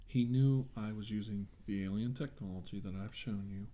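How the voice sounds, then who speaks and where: A man with a low, very mellow and relaxed voice plays a doctor in an improvised ASMR role-play. He speaks softly and reassuringly, with a hesitant, halting delivery. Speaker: ASMR role-player acting as a government doctor.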